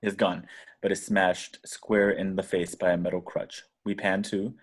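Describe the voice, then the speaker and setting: Deep voiced guy reading script action lines, dramatic narration, deliberate. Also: level tone. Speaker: stage directions reader.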